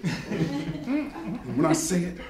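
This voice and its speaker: A man's voice: high-pitched, very animated, very slow, distant mic. A man giving a theatrical, emphatic comedic reading to a live audience. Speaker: guest reader at a live event. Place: crowded dim indoor bar venue. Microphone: stand microphone at a lectern. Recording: echoey, off-mic, loud laughing crowd. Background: loud audience laughter.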